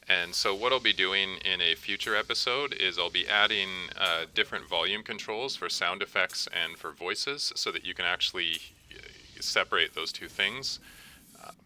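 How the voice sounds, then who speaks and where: A man talking casually as he hosts a screen-recorded software tutorial. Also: sits low, normal speed, a little hiss or hum. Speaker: tutorial host, game developer.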